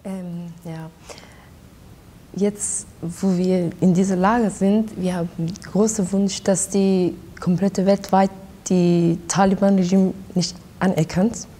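A young woman from Iran, a guest in a studio interview, speaking haltingly and searching for her words. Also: fairly low pitch, level tone, mellow. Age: young adult. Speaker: guest, activist. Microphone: lapel.

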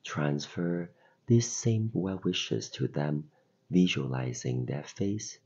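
Man, extremely low pitch, husky mellow tone, gentle meditation guide, deliberate pauses, slightly muffled recording. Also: lively intonation. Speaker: meditation guide and podcast host.

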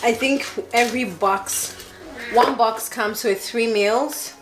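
A woman in her twenties chatting casually in her kitchen for a home vlog, chatty and excitable with frequent laughs. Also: a little high, slow pace, over music. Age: 20s. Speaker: vlogger.

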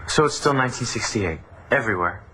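A man's voice: medium pitch, expressive, quick pace, background noise, lightly acted. An actor playing an anxious, wry young man. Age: young adult.

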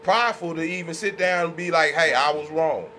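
A man with a fairly high, sharp voice talks in a small podcast studio. He debates casually and bluntly, leaning on slang and repeated tag phrases. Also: a little fast, a little hiss or hum. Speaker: podcast co-host. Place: small makeshift home studio, indoors. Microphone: podcast mics on boom arms.

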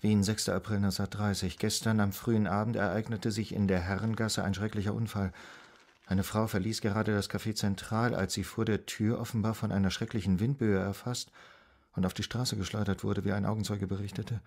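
Deep-voiced, courteous doctor. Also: male voice, flat delivery, fairly quick, creaky, a little mellow. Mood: grave, shocked. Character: courteous, troubled male psychoanalyst. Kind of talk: German radio drama acting.

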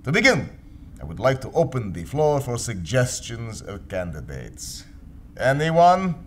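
Formal chairman, hugely varied pitch. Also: wildly swooping pitch, measured pace, a little hiss or hum. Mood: businesslike. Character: formal chairman of a secret anarchist meeting. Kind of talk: radio play acting.

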